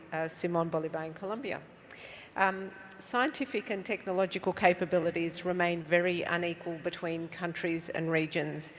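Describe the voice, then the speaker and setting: Lady moderator at a conference, lowish voice, polite, faint background noise. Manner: polite and measured, with small fillers. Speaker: panel moderator. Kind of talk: formal panel introduction. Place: conference hall.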